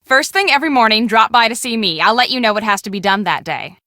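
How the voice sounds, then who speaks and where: An earnest woman who runs a storm-chasing crew in a video game. Her voice is fairly high and very bright, with a brisk, businesslike delivery that takes charge. The sound is close and dry. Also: dry recording. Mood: friendly, brisk. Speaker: storm-chasing team project manager.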